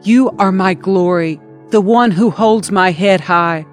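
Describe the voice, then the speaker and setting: A woman's voice: medium pitch, slow pace, faint music behind. A woman reading scripture aloud as a scripted audiobook narration. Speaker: scripture reader.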